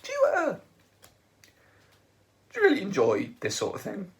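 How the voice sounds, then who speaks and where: A man's voice: high voice, huge pitch swings, normal speed, voice pushed up, full character voice. A man voicing a breezy, persuasive young man coaxing a guard, in an audiobook reading. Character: cunning, breezy young man talking his way out.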